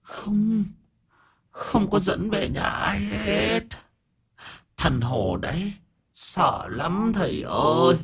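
A man's voice: sits high, expressive, a bit bright, slightly rough, voice pushed up, full character voice. A man voicing the frightened ghost of an old woman, pleading in a quavering, stammering voice. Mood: fearful pleading. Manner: quavering, slow, stammering pleas.

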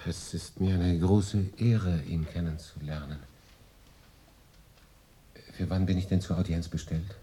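An actor playing a courteous, reserved consul in a radio drama, with a polite, composed and formal manner. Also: male voice, sits low, steady tone, a little slow, long pauses, mellow, slight background noise. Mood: surprised, respectful.

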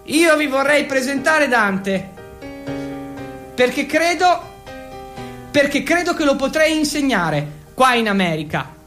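A man playing a timid but excitable young dreamer, repeating himself and declaiming earnestly in a theatrical monologue. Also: very high-pitched, faint music behind, full character voice. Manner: halting, repetitive, breaking into declamation.

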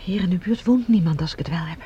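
A woman's voice: fairly low pitch, fast, mellow, lo-fi sound, natural voice. Actress as watchful escaped woman, Mars radio drama, anxious, prone to whispering, light background noise. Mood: nervous. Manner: nervous, often whispering. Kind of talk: science fiction radio drama acting. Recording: noisy scene with background ambience.

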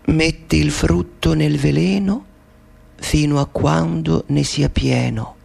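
A man's voice: a little high, steady tone, gravelly, a little mellow, slightly rough, pitched above the performer's own voice, heavily acted. A man chanting as a wicked fairy-tale witch. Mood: sinister. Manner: slow incantatory chant.

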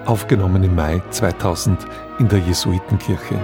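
A man reading a scripted radio introduction in a measured, formal, explanatory manner. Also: low-pitched, steady tone, over music. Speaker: radio presenter introducing a radio drama.

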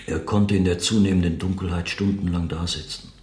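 A man delivering measured, scripted radio drama narration, with some phrases repeated. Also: low voice, steady tone, a little mellow. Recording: roomy, echoing sound.

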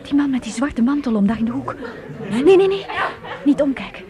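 A woman's voice: high voice, sing-song, brisk. An actress in a Dutch radio play voicing an impressionable, anxious girl of sixteen, emotional and breathless. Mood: uneasy, curious. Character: excitable, anxious sixteen-year-old girl, younger sister. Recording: radio play with crowd behind.

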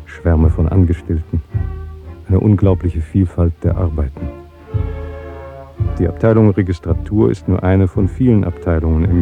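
An actor playing a wary, disillusioned party worker in a totalitarian state, with measured delivery, in a German radio drama. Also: male voice, deep voice. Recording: dull 1970s radio production, faint music.

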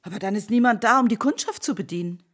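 An actress playing a stout, coquettish French widow who keeps a shop, coy and French-accented, in a radio play. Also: female voice, mid-range voice, quick pace, natural voice. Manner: coy, mixing in French phrases.

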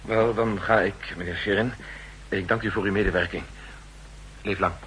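A man's voice: fairly low pitch, steady tone, moderate pace, a bit bright, natural voice. An actor playing a nervous, suspicious official in a 1960s radio play, formal and courteous in his speech. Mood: polite, guarded. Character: nervous, suspicious male official plotting in secret. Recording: noisy old 1960s radio recording.

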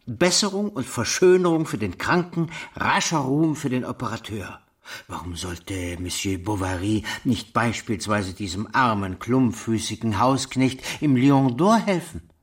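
An actor playing a self-important village pharmacist in a radio play, eagerly persuasive and declamatory. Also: male voice, mid-range voice, lightly acted. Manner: pompous, declamatory. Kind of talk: German radio play acting.

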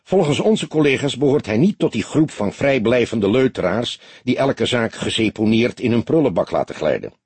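A man's voice: mid-range voice, big pitch swings, normal speed, hardly any pauses, darkish tone, husky, no echo. A man reading the part of a young, keen police detective and sidekick in an audiobook, earnest and informative.

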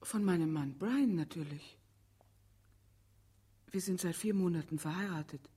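Breathy, anxious woman witness. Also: on the low side, warm tone. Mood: quiet, defensive. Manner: hesitant, halting, emotional. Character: anxious, reluctant female witness, secretly the defendant's wife. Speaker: actress in a radio play. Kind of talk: German radio drama acting.

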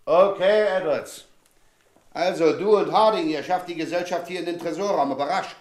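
An actor playing a coolly deceptive, commanding robber leader in a German radio play, speaking in a brisk, curt way. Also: male voice, on the high side, a little mellow, no echo, natural voice.

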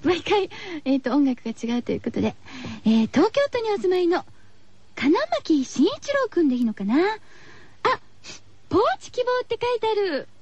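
A woman's voice: extremely high pitch, big pitch swings, normal speed, darkish tone. A woman hosting a radio show, chatting casually to her listeners in a cutesy, girlish way. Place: radio studio. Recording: slightly dull, lo-fi radio recording.

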